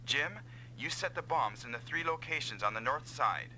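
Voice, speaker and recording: Casting: self-doubting, eager mech pilot for anime-style game, piercingly forceful, faint noise behind. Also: male voice, fairly high pitch, lively intonation, moderate pace, low-quality recording. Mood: purposeful. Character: earnest, self-doubting male comrade pilot, eager to help. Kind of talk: dubbed anime-style video game acting.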